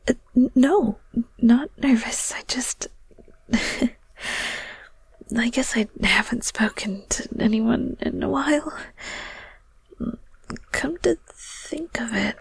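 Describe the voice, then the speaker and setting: A very breathy, half-whispered female shade acted out by a woman speaks softly and slowly, close and dry. She is a friendly, bashful ghost from an ASMR roleplay, stammering and hesitating in a gently awkward way. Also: a little high, no echo, heavily acted. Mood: nervous, gentle. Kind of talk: intimate ASMR audio roleplay.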